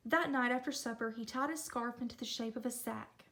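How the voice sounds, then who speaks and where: A woman reads a children's picture book aloud. Her voice sits high, and she narrates with expression as a storyteller. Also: brisk, a bit bright. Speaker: storybook reader.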